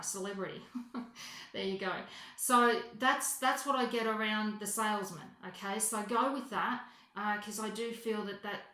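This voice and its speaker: A woman giving an unscripted psychic reading as a voicemail, halting and correcting herself as she goes, often repeating phrases for emphasis. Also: mid-range voice, fairly bright tone. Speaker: psychic reader. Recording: roomy, echoing voice recording.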